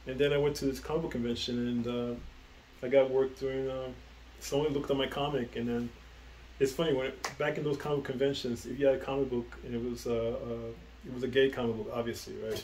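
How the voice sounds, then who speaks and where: A man's voice: mid-range voice, level tone, brisk, darkish tone, roomy sound, rough recording. A middle-aged man from the Bronx, talking as the guest in a casual interview, rambling with many fillers and restarts. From the Bronx, New York. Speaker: guest, comic book writer. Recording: faint background noise.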